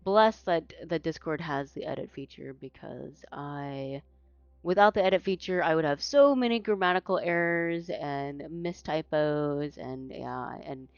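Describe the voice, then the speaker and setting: A woman's voice: low voice, unhurried, smooth, no echo, dull audio. A woman whose first language is Mandarin, casting an esports tournament in casual chat, rambling with many fillers and restarts. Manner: rambling, self-correcting, frequent fillers. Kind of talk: casual post-match chat.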